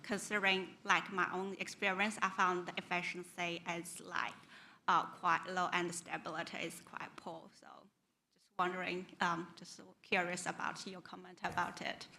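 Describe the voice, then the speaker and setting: A woman's voice: fairly low pitch, level tone, normal speed, sharp tone, slight background noise. A young woman at an audience microphone asking a tentative question full of fillers. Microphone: standing mic in audience.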